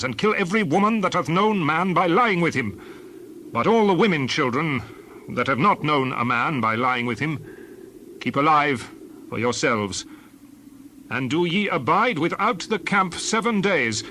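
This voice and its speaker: Stern prophet and lawgiver, highish voice, widely swooping intonation, declamatory, scripture audio drama. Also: male voice, bright, forceful tone, slight background noise. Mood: stern, angry. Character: Moses, stern authoritative male leader and lawgiver.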